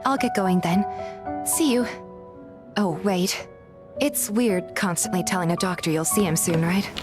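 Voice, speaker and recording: A woman's voice: on the low side, unhurried, lightly acted. Woman as earnest game heroine over music. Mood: earnest, reflective. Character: curious, polite young woman, game heroine narrating. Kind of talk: scripted first-person narration and dialogue, fan dub.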